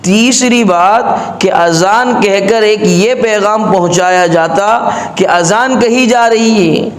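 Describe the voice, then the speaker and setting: High-pitched Indian man preaching slowly, echoey. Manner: measured, didactic, repeats points in formal phrasing. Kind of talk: sermon, explanatory religious address. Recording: echoey, reverberant room sound.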